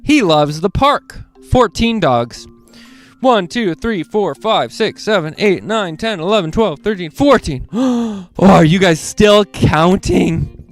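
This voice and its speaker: A man hosting a children's read-along, reading picture books aloud in an animated way and speaking directly to young listeners. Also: high-pitched.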